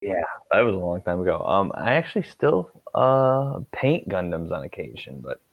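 A young man in his twenties with a very deep, gravelly voice that swings widely in pitch, talking in an easygoing, wry and rambling way. The recording is dull and lo-fi, close and dry. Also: big pitch swings, moderate pace. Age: 20s. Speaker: guest, cook. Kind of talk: casual chat. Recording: dull lo-fi sound.

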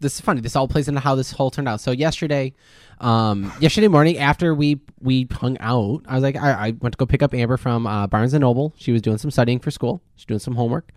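A man's voice: medium pitch, fast talker, dry recording, studio quality. A man co-hosting a podcast in casual chat, telling stories in a rambling, repetitive way and swearing freely. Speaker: podcast co-host.

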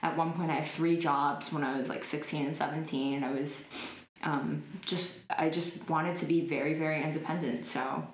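A woman's voice: low voice, fairly even intonation, moderate pace. A woman in her twenties chatting casually as an interview guest, with lots of likes and ums. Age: 20s. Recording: roomy echo.

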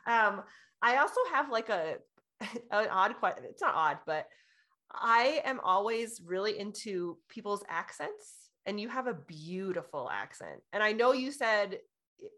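A woman hosting a casual podcast interview, encouraging and quick with affirmations and laughter. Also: mid-range voice, a bit bright. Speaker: podcast host.

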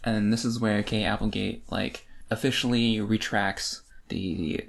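A man's voice: lowish voice, steady tone, a little slow, gravelly. A man co-hosting a podcast, recapping and discussing a book casually with a wry, playful manner. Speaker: podcast co-host.

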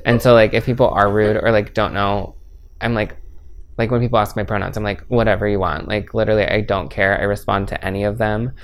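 A man's voice: on the low side, fairly even intonation, brisk. A man in his twenties talking openly in a casual interview, easygoing and candid, with many filler words. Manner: chatty, frequent filler "like".

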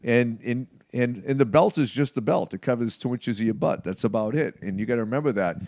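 A man in his late fifties speaking conversationally in an interview, in a halting, emphatic way with frequent check-ins. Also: mid-range voice, a little mellow, no echo, clean studio sound. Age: late 50s. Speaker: martial arts practitioner being interviewed.

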